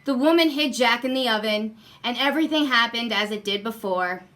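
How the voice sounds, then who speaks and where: A woman reading a children's storybook aloud expressively, with deliberate emphasis and repetition for young listeners. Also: fairly high pitch, bright, forceful tone. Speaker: guest story reader.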